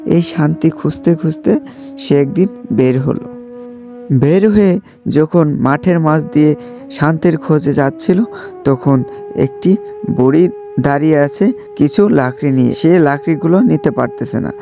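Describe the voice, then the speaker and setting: Male storyteller, mellow, gravelly fry, children's fable narration, faint music. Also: a little high, animated, a little slow. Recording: dull audio, faint music.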